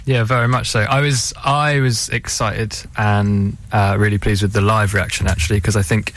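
A man's voice: fairly low pitch, fairly even intonation, normal speed, fairly bright tone, slight background noise. A man who plays in a band, talking casually as a radio studio guest. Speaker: band member, interview guest. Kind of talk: casual interview chat.

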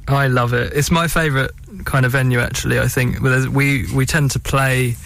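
A man's voice: medium pitch, fairly even intonation, brisk, creaky, a bit bright, no room sound. A man speaking as a band musician in a casual interview, hesitant and often rephrasing himself. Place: radio studio.

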